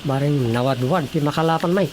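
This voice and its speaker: An actor playing an easygoing, hopeful young fisherman son, casual and deliberate, in a radio drama. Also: fairly high pitch, close to an everyday voice. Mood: practical. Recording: clearly noisy, ambient recording.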